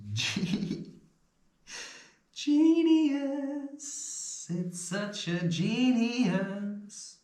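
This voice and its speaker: Echoey, slow-talking rambling man. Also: high voice. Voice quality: occasional stutter. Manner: loose, swearing, chuckling, animated. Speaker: self-help video host. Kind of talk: rambling spiritual advice monologue. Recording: echoey room.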